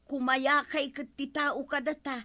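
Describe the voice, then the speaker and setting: A woman's voice: sits high, level tone, measured pace, pushed and bright. A woman with an Ilocano accent playing a nagging village wife in a radio comedy drama, scolding and questioning. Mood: aggrieved.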